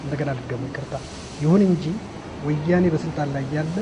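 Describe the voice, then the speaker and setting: A man's voice: fairly high pitch, rapid-fire, natural voice. A man playing a wary, captured African man in a radio drama, speaking in short bursts. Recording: noisy ambience behind, distinct from narration.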